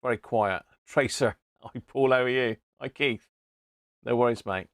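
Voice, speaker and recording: A man's voice: mid-range voice, a little slow, no echo, studio quality. A British man hosting a casual hobby livestream, rambling and thinking aloud with chummy asides to viewers. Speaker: livestream host, CB radio hobbyist. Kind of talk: casual live chat to viewers. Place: indoor radio room.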